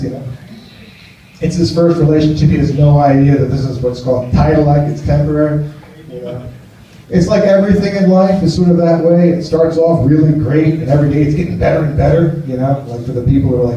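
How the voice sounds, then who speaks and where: A man's voice: a little high, dark tone, a little hiss or hum. An American man in his fifties doing a rambling, self-deprecating stand-up set and chatting with the crowd in a small cafe. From United States. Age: 50s. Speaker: stand-up comedian. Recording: distant, roomy, muffled live cafe recording.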